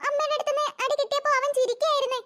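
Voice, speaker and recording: A performer voicing a small, cheeky, whiny cartoon schoolchild in a pitched-up voice. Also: flat delivery, rapid-fire, very noisy. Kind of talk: cartoon voice acting.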